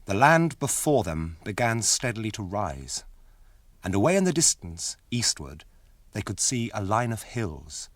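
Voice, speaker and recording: An actor in a radio drama narrating a fantasy journey as a calm, stately storyteller. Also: male voice, fairly low pitch, unhurried, no room sound.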